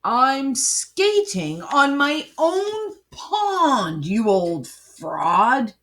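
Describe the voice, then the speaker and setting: A woman reader acts out a kindly whiskered old man from a storybook. He speaks slowly and heartily, with confident emphasis and a lifted pitch. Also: big pitch swings, fairly bright tone, heavily acted. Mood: amused defiance.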